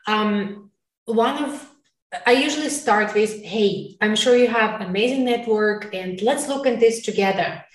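A woman answering casually as an interview guest, halting as she searches for words, with non-native phrasing. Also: fairly high pitch. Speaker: interview guest, recruiting sourcer. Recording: roomy, echoey, distant mic.

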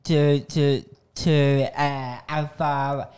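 A man chatting casually in a halting way, repeating words and syllables as he builds each sentence. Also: on the high side, little pitch movement, a little mellow. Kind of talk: casual chat.

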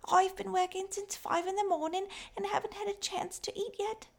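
A woman voicing a poor, tired little girl who works in a coal mine, speaking in thin, raspy, clipped replies. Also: extremely high pitch, little pitch movement, normal speed, darkish tone, pitched above the performer's own voice. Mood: weary.

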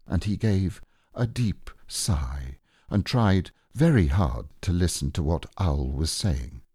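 A man's voice: low voice, lively intonation, slow pace, warm tone, breathy edge, dry recording, studio quality. A man narrating a children's story expressively as an audiobook reader.

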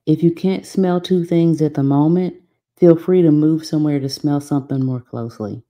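A woman leading a guided meditation from a script, giving soothing, unhurried instructions with long pauses. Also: low-pitched, dark tone.

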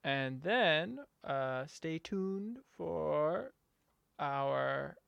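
A man's voice: a little high, lively intonation, very slow, gravelly, a bit bright, smooth, no room sound, highs cut off. A man co-hosting a fiction podcast, talking casually and hesitantly with frequent fillers. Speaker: podcast co-host. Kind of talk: casual chat.

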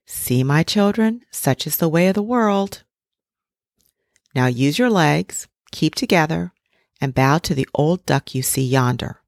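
Woman doing a motherly duck, lifted a little above her low voice; dry close sound. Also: animated, measured pace, no echo. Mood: instructive. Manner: fussing, gently instructive. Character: mother duck, weary but proud and protective. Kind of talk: storybook reading.

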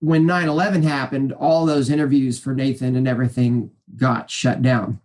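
A man in his forties with a naturally high voice, rambling cheerfully through stories as an interview guest, full of fillers and restarts. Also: fairly even intonation, normal speed, a little mellow. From Kansas City area, US. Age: 40s. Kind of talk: casual interview storytelling.

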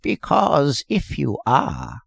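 A man's voice: a little high, sing-song, gravelly, breathy edge, no echo, clean studio sound, full character voice. A man voicing a weary, querulous old man in an audiobook story, with a cracked, weak, whining voice. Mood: querulous demanding. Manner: querulous, slow, complaining.

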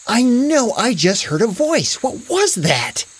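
A man's voice: high-pitched, big pitch swings, takes it slowly, a bit bright, clean tone, full character voice. A man voicing a bewildered, innocent young boy in a children's story, exclaiming and asking questions. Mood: puzzled. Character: wide-eyed, bewildered young boy at summer camp.

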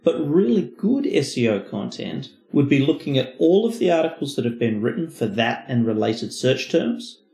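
A man's voice: medium pitch, very animated, normal speed, few pauses, warm tone. A man co-hosting a podcast, explaining as an expert in an emphatic, conversational manner. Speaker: podcast co-host. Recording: roomy, echoing voice recording.

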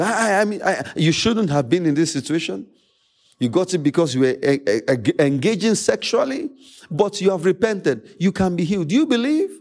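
A man with a Nigerian accent, a native Yoruba speaker, preaching a sermon as a pastor, emphatic, repeating phrases and pausing dramatically. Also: high voice, darkish tone.

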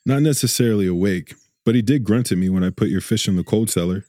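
A man playing a mellow, jovial fishmonger. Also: lowish voice, fast talker, no echo, natural voice. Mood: friendly banter. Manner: chummy, bantering. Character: jovial stout fishmonger, friendly and teasing. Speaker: actor in a radio drama. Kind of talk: radio drama acting.

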